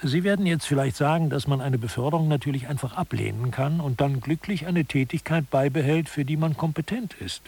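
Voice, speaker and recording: A man's voice: fairly high pitch, a little mellow, breathy edge. A man narrating a satirical radio lecture in an articulate, matter-of-fact way. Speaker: second narrator.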